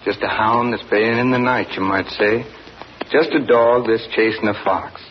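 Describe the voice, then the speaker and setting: An actor playing a young farm son who narrates in a homespun, folksy way in a 1940s radio play. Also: male voice, fairly low pitch, moderate pace, slight background noise, lightly acted. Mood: wistful. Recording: dull old radio broadcast.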